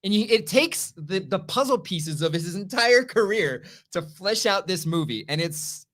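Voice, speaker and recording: A man with a very lively, swooping delivery co-hosts a casual review show. He is enthusiastic and emphatic, bantering as he makes his case. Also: sits high, unhurried. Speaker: co-host of an entertainment review show.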